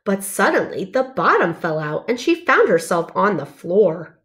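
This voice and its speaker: Lady reading a storybook to kids, really animated pitch, careful and expressive. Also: unhurried. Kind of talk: expressive children's storybook narration.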